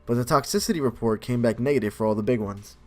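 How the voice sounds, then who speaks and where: A man's voice: medium pitch, fast talker, darkish tone. Male gamer reading aloud over music. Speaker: gaming video host. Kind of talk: reading game text aloud with casual commentary.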